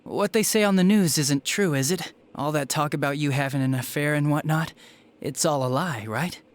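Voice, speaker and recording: An actor playing a smug, spiteful young detective villain in a video game, with a sneering, flippant and theatrical manner. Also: male voice, on the high side, normal speed, natural voice. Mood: wheedling resentment. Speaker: police detective. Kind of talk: dramatic video game voice acting.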